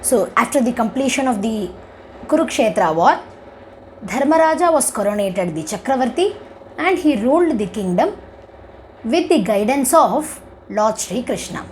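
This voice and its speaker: A woman with an Indian accent telling a devotional moral story as a discourse, with deliberate, emphatic phrasing. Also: on the high side, big pitch swings, slight background noise, low-quality recording. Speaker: storyteller giving religious discourse.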